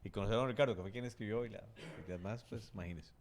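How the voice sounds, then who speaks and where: A man co-hosting a casual talk show, appreciative and trailing off softly at the ends of sentences. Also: on the low side, very fast, a little hiss or hum. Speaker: co-host. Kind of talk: casual podcast chat.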